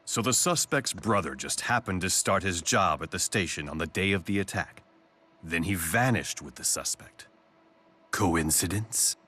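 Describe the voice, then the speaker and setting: Deep, suave corporate chief. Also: male voice, lively intonation, normal speed, pushed and bright, a little hiss or hum. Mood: accusing. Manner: polished, condescending. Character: suave, calculating corporate chief, adult man. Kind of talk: English video game voice acting.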